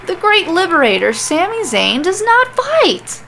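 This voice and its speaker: A woman voicing a panicky, self-important male wrestler in a story, shrill, screaming and melodramatic, on a slightly noisy recording. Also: huge pitch swings, unhurried, creaky, full character voice. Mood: outraged panic.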